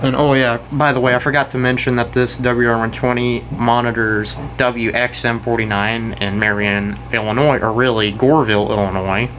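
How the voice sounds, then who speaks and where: Casual male video host, mid-pitched, halting and rambling show-and-tell delivery, faintly noisy amateur recording. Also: steady tone, a bit bright. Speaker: video host and weather radio collector.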